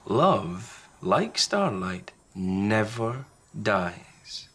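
A man's voice: lowish voice, very animated, extremely slow, a bit bright, slightly rough, exaggerated voice. A man voicing a big, patient, loving parent fox in a storybook, tender and reassuring. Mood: soothing, loving.

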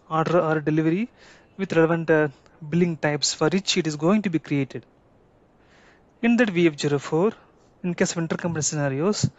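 A man presenting a software tutorial, explaining step by step in short, halting, deliberate chunks. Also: fairly high pitch, dry recording. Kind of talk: explanatory tutorial, semi-improvised. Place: voice-over for a screen recording. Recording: dull lo-fi close dry recording.

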